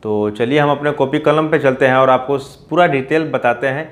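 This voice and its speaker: A man's voice: medium pitch, normal speed. A man, hosting an informal explainer and often addressing listeners as friends. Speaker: poultry farming video host. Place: small plain indoor room.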